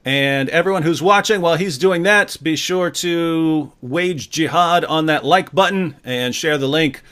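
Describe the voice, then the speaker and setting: A man's voice: a little high, measured pace, fairly bright tone. A man hosting a casual livestream interview, talking with playful showmanship. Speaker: host.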